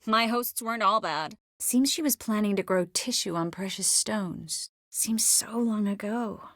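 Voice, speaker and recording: An actress playing a young sorceress in a fantasy video game, caring, wry and passionate, with an expressive, clearly articulated, intimate delivery. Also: female voice, medium pitch, a little slow, no room sound, studio quality. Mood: measured, grave.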